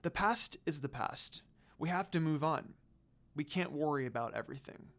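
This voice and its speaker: A woman voicing a gentle, heartbroken teenage girl in a story reading, with a soft, murmuring delivery. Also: deep voice, lively intonation, moderate pace. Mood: resigned.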